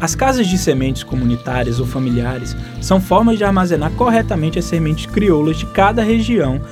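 A man's voice: fairly high pitch, animated, fairly quick, over music. A man presenting scripted radio narration.

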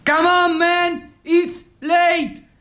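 A man's voice: very high-pitched, little pitch movement, drawn-out words, sharp tone, pitched above the performer's own voice, full character voice. A man voicing an angry, bossy American relative in a radio drama, barking impatient orders.

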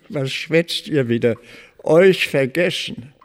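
A man's voice: on the high side, slow pace. A man acting a devoted old servant in a German radio play, humble and effusive, pleading and exclaiming, in a reverberant space. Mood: excited. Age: older. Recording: long reverberant hall.

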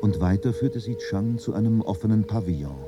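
A man narrating a literary radio drama in German in a measured, solemn, composed way. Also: fairly low pitch, animated, loud music behind the voice, lightly acted.

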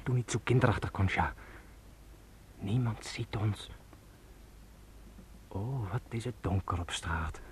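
A man's voice: on the low side, slow pace, pauses often, slight background noise. A man acting in a radio drama as a domineering, taunting storyteller who speaks insistently and coaxingly. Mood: coaxing, soft. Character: domineering, taunting male storyteller, Señor Pardo.

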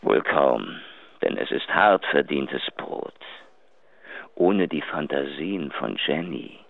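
An actor playing a cruel, contemptuous adulterous man in a German radio drama, taunting with mocking, drawn-out emphasis. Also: extremely low pitch, animated, creaky, dry recording, heavily acted. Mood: sneering, cruel. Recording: tinny narrow phone-like sound.

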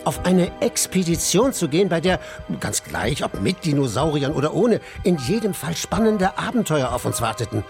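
A man's voice: a little high, big pitch swings, normal speed, few pauses. An actor playing an eager, adventurous young male reporter who narrates his own story in a radio drama. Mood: excited. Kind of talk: scripted first-person radio drama narration. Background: clearly audible music.

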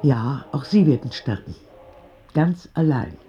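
A man playing a frank, tender old grandmother in a radio drama, speaking gently, earnestly and deliberately. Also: medium pitch, animated, dull audio, a little hiss or hum, pitched above the performer's own voice, natural voice. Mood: grave, gentle.

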